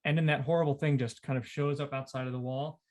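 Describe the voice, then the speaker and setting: A man's voice: mid-range voice, fairly even intonation, fast talker. A man hosting a casual literary discussion, repeating phrases for emphasis as he talks. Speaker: podcast host.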